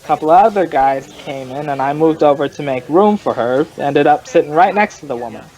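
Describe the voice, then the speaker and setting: An actor playing a folksy, rambling male informant who tells his story in colloquial, drawn-out fashion, in old-time radio crime drama style. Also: fairly high pitch, a little mellow, lightly acted, rough recording. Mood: hearty, chatty.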